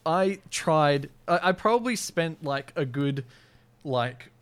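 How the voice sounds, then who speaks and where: A man's voice: a little high, animated, takes it slowly, smooth. A man co-hosting a podcast, talking casually in a rambling, hesitant way with wry humour. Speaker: podcast co-host.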